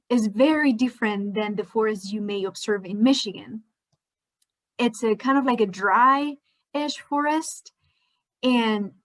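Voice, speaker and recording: Woman with spanish as first language, fairly high voice, friendly explaining, science slideshow narrator. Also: low-quality recording. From Spain. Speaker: PhD student presenter.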